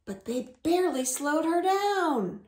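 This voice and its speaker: Animated high-voiced woman reading to children. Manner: expressive, with drawn-out words, sound effects and gasps. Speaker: children's storytime reader. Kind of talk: animated picture-book read-aloud for young children. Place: indoors at home. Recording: roomy, echoing home recording.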